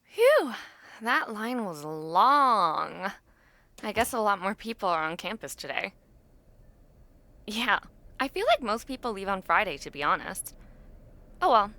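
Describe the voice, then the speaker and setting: Young woman as a female college friend for audio roleplay: bright, crisp-edged voice, chatty, sentimental, gently teasing. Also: medium pitch, expressive, unhurried, long pauses, exaggerated voice. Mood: relaxed, friendly. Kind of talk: intimate audio roleplay, casual one-sided chat.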